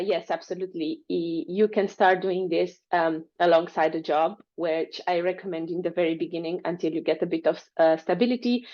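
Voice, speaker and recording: Woman talking on a call, bit low voice, friendly, uses um a lot, muffled sound. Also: a little mellow. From Romania. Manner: friendly, fluent, with fillers. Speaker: guest, freelancer. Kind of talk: interview answers over a call. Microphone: video call. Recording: dull lo-fi call audio.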